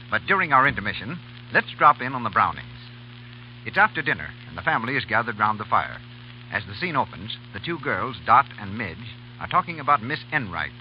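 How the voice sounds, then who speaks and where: A man in a formal announcing voice with lively, swooping intonation, narrating a radio show's intermission. The sound is muffled old-time broadcast with a faint hiss. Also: mid-range voice, brisk. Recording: dull 1930s radio broadcast.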